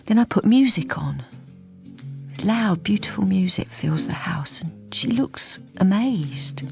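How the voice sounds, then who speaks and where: An actress playing a lonely middle-aged wife who has turned invisible, narrating a radio drama in a hesitant, confiding, understated way, at times in a breathy near-whisper. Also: female voice, medium pitch, animated, slow pace, over music. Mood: wistful wonder.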